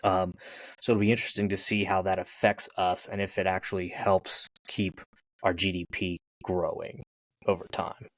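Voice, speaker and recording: A man's voice: deep voice, little pitch movement, normal speed. A man co-hosting a podcast, talking casually about politics in a rambling, hedging way. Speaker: podcast co-host.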